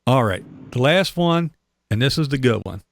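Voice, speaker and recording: A man's voice: mid-range voice, animated, moderate pace, vocal fry. A middle-aged man hosting a casual interview, playful and joking. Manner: playful, joking, laughs easily. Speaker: podcast host.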